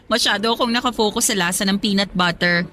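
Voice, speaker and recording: A woman's voice: mid-range voice, moderate pace, natural voice. An actress with a Filipino accent playing a playful, nostalgic woman with her old sweetheart in a radio drama. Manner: teasing, expressive.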